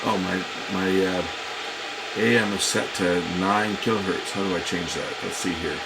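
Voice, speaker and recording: A man's voice: low-pitched, fairly even intonation, normal speed, creaky, heavy background noise. A man giving a casual hands-on demonstration as a hobbyist, muttering to himself as he works. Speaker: hobbyist presenter demonstrating a vintage radio.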